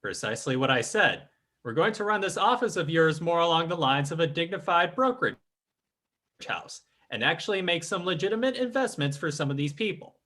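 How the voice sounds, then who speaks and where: An actor playing a sly, imperious fake psychic and crime boss in an old-time radio drama, speaking in a pompous, formal, commanding way. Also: male voice, a little high, lively intonation, brisk, sharp tone. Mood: stern, domineering.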